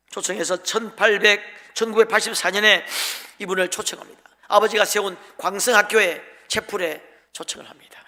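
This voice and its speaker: A man's voice: sits high, bright, forceful tone. A man preaching a sermon as a pastor, telling a story in an emotive, emphatic way and breaking off phrases for weight. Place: large church sanctuary during a worship service. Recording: long hall reverb.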